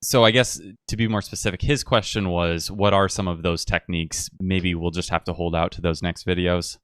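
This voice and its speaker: A man hosting a podcast interview, asking questions in a relaxed, encouraging way. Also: sits low, moderate pace, no room sound, clean studio sound. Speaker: podcast host. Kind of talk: casual podcast conversation.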